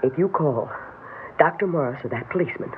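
High-strung wife in old radio drama. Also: female voice, expressive, a little fast. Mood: tense, stubborn. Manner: overwrought, pleading, swings into hysteria. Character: frightened, high-strung young wife in hiding. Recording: muffled, slightly noisy old radio recording.

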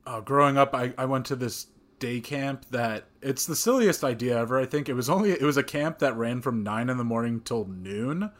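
A man's voice: medium pitch, normal speed, hardly any pauses. A young man hosting a casual podcast chat, laughing often and restarting his sentences. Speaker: podcast host. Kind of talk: casual conversational interview.

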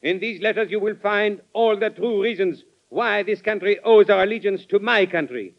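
An actor playing a proud, scheming envoy, in impassioned old-time radio drama style. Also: male voice, high voice, moderate pace. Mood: persuasive. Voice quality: impassioned, declamatory. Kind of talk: 1940s radio acting. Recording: muffled old radio recording.

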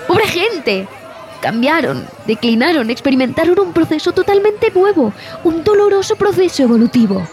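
A woman playing a traumatized expedition survivor in a horror audio drama, telling her story in a haunted, halting, dramatic way. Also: very high voice, sing-song, darkish tone. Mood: indignant, agitated. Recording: music bed behind the voice.

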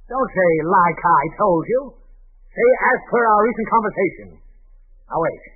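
A man's voice: high voice, lively intonation, normal speed, breathy edge. A man playing a blustery, demanding, exasperated boss with a pompous barking manner in 1940s radio comedy style. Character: blustery, demanding male boss, exasperated with his bumbling employee. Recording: tinny band-limited 1940s radio recording.

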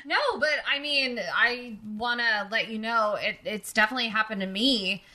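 Podcast host, woman from New Jersey, lively and enthusiastic, bright forceful voice. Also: a little high, normal speed. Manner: animated, enthusiastic, expressive. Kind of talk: casual chat.

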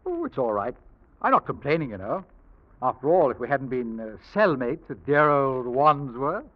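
A man playing a suave, flattering British con man with sly menace in an old radio drama. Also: medium pitch, normal speed. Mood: silky, menacing. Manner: urbane, flattering, slyly menacing. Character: suave, smooth-talking British male swindler and ex-convict. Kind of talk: 1940s radio drama acting. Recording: dull vintage radio recording.

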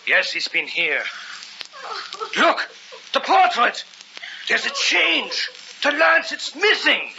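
A dramatic man in a vintage radio horror play. He speaks slowly and portentously, with big theatrical swings of tone. The old recording sounds muffled. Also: extremely high pitch, huge pitch swings, bright, forceful tone, slight background noise, exaggerated voice. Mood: grim horror. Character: intense, dramatic man in a gothic horror tale.

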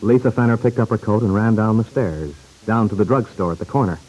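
A man's voice: fairly low pitch, fairly quick, a little mellow, slightly rough, low-quality recording. A man reading scripted narration for an old-time radio serial.

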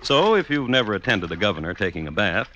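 An actor playing a droll, deadpan connoisseur of crime, narrating an old-time radio show as host in a measured, wry manner. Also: male voice, on the low side, muffled recording, slight background noise. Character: droll male connoisseur of crime hosting true murder tales. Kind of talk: 1950s radio scripted narration.

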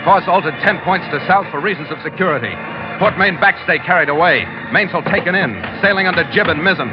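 An actor playing a no-nonsense, harried ship captain who also narrates, curt and commanding, in old-time radio drama. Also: male voice, high-pitched, fast, close to an everyday voice. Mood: terse, grave. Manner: curt, commanding, clipped log-style delivery. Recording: muffled vintage radio recording with music.

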